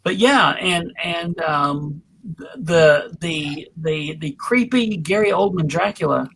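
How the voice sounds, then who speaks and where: A panelist chatting casually about films in a halting way, thinking aloud. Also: medium pitch, animated, creaky, fairly bright tone, a little hiss or hum, low-quality recording.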